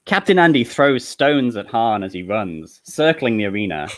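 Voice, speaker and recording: A man's voice: mid-range voice, sing-song, moderate pace. A man reading screenplay stage directions as narrator of a comedy table read, with an amused manner.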